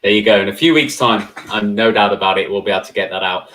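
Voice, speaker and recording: A man's voice: fairly low pitch, fast, a bit bright. Chatty man hosting a live stream. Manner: chatty, many fillers and restarts. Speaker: host.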